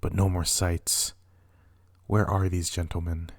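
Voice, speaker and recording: A man's voice: sits low, flat delivery, unhurried, creaky, dry recording, clean studio sound, exaggerated voice. A man playing a tormented, imperious and ruthless king, declaiming weightily in a dramatic Shakespeare reading. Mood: grimly resolved.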